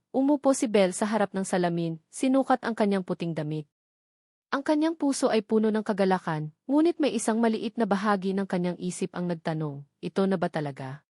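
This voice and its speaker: A woman's voice: mid-range voice, warm tone, dry recording, studio quality. A woman narrator reading a sentimental love story as scripted narration.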